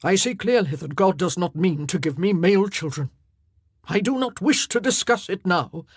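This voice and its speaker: A woman's voice: on the low side, expressive, moderate pace, breathy edge, no room sound. A woman voicing a self-pitying middle-aged king, soothing one moment and icy the next, in a dry, close recording. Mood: regal.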